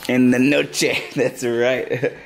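A man's voice: medium pitch, little pitch movement, very slow, creaky, background noise. A man in his thirties narrating a family road trip vlog to a handheld camera, chatty and upbeat, laughing often. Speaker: vlogger, father. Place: restaurant, car, hotel at night.

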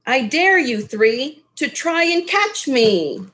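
A cheeky magic wok from a picture book, played by a woman reading aloud. It taunts in a slow, sing-song way, with a slightly high voice. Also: sing-song, fairly bright tone, heavily acted. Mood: playful taunting.

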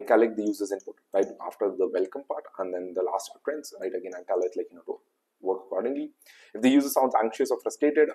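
A young man with an Indian English accent talks fast through a screen-recorded tutorial. His voice sits fairly low and his talk is loose and full of fillers, recorded on a webcam mic indoors. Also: mellow, poor audio. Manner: quick, loose, with frequent fillers. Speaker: tutorial host. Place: indoor room.

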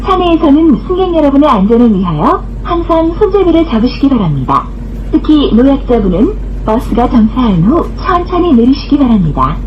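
A woman's voice: sits high, big pitch swings, fairly quick, dull audio, a little hiss or hum. A woman reading scripted bus stop announcements in a formal, carefully enunciated way, recorded inside a bus. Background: bus interior ambience.

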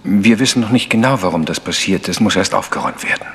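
A man's voice: low-pitched, big pitch swings, fast, fairly bright tone, heavily acted. An actor playing a businesslike man who explains things plainly in a German radio crime drama. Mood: explaining. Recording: long reverberant hall.

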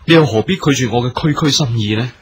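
Courteous, husky imperial commander. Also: male voice, mid-range voice, fast. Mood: courteous, earnest. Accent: Hong Kong Cantonese. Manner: formal, stately, gracious. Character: courteous, earnest imperial military commander, loyal husband. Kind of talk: Cantonese period radio drama acting.

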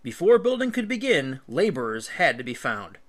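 A man's voice: medium pitch, sing-song, moderate pace. A male teacher reading an illustrated history book aloud, with emphatic, instructional phrasing.

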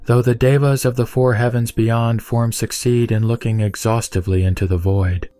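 A man giving a steady, even reading of a Buddhist scripture. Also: medium pitch, moderate pace, no echo. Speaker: sutra reciter. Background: soft background music.

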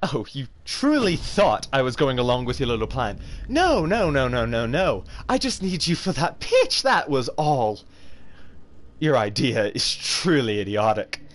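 A man voicing a rich, snobby, condescending gentleman with haughty disdain while streaming a game. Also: medium pitch, big pitch swings, a little slow.

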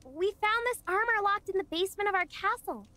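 A woman's voice: extremely high pitch, lively intonation, brisk, sharp tone, full character voice. A woman voicing a sweet, earnest young girl in a video game, polite and a little hesitant. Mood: concerned, coaxing. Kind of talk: video game character acting.

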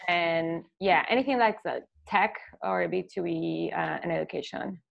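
A woman's voice: fairly low pitch, moderate pace, gravelly. A woman in her thirties from Argentina, an agency owner asking a question on a video call, speaking hesitantly with many fillers and restarts. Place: home living room. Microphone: laptop webcam on a video call. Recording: dull lo-fi video call audio.

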